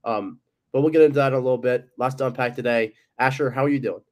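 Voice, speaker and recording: A man's voice: medium pitch, fairly even intonation, fast. A young man with a stuffy, cold-clogged voice, hosting a casual sports podcast and rambling with lots of ums and likes. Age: young adult. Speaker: podcast host.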